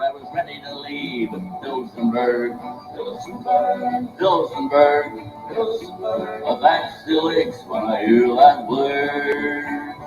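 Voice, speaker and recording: A man singing a storytelling folk ballad with a repeated chorus. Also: a little high. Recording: echoey, muffled old song recording with backing music.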